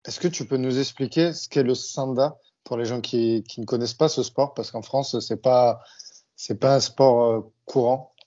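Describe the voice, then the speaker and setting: A man's voice: mid-range voice, fairly even intonation, moderate pace, dark tone. A man hosting a podcast, asking plain questions with small hesitations. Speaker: podcast host. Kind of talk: interview questions. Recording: dull lo-fi audio.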